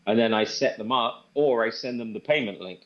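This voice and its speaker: A man giving an informal product demo and sales pitch, conversational and repeating phrases for emphasis. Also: brisk. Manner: conversational, repeats phrases for emphasis, acts out sample phone calls. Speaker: presenter demonstrating software to a group. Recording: dull, band-limited sound.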